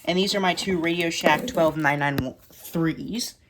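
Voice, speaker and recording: A woman's voice: on the low side, a little slow, noisy background. A woman giving a casual, blunt, informal show-and-tell tour of a collection as a vlogger. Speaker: vlogger showing a radio collection.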